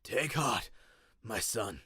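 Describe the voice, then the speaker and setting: A man voicing a defiant old inventor father with a weary croak in a mythic audio story. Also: fairly low pitch, expressive, slow pace, sharp tone, no room sound, clean studio sound, voice pushed down, full character voice. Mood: weary, reassuring. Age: older. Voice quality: croaking, groaning.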